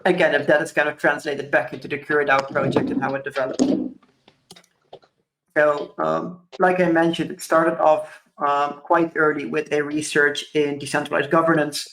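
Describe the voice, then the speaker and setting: A man's voice: a little high, flat delivery, moderate pace, gravelly, a bit bright, a little hiss or hum. Young Caribbean-born man presenting, roomy sound. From Curaçao. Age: 20s. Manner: thoughtful, many ums and kind-ofs. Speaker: conference speaker. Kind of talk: presentation talk. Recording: roomy echo.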